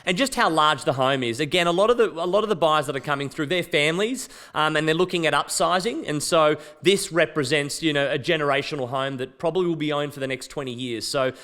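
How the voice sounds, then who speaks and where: A man, a real estate agent with a loud voice, enthusiastically talking through a house on camera. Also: a little high, quick pace, few pauses. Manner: loud, enthusiastic, self-joking. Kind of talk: property walkthrough chat. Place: outdoors at a house.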